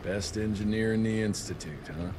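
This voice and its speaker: A man's voice: fairly low pitch, moderate pace, noisy background, natural voice. A man playing a tough gunslinger field agent in a video game, blunt, sardonic and impatient.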